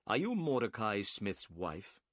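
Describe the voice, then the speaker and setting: Man reading a calm clever detective, polite and brisk, dry close sound. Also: fairly low pitch, no echo, heavily acted. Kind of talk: audiobook character voice.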